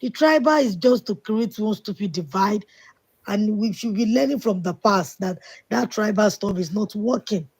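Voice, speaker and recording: A woman's voice: medium pitch, moderate pace, a little mellow, slightly rough. Indignant woman ranting on a call. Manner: indignant, emphatic. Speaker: call-in participant. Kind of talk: live call-in discussion.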